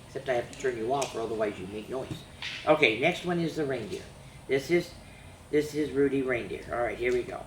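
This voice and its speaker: A woman's voice: a bass voice, a little fast, roomy sound, slight background noise, low-quality recording. A woman reading a rhyming picture book aloud to children, with chatty asides between pages. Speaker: children's storyteller.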